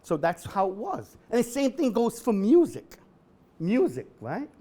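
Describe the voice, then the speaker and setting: A middle-aged man doing a stand-up comedy set at an event, with a deadpan delivery that bursts into shouted singing. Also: sits high, a little slow. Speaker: comedian host. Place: event hall with a live audience.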